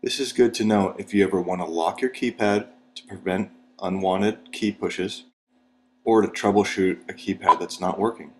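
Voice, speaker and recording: A man reading scripted instructional narration for a training video in a measured, step-by-step, plain manner. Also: deep voice, a little mellow, slight background noise.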